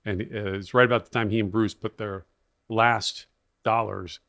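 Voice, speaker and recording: A man co-hosting a podcast, telling stories from the past in an animated, casual way. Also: lowish voice, normal speed, dry recording, studio quality. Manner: reminiscing, animated storytelling. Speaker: podcast co-host. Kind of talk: casual chat.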